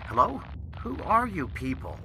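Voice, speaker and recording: A man's voice: a little high, huge pitch swings, normal speed, background noise. An actor voicing a cowardly, smug, sarcastic middle-aged male engineer in a video game. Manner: sarcastic, petulant.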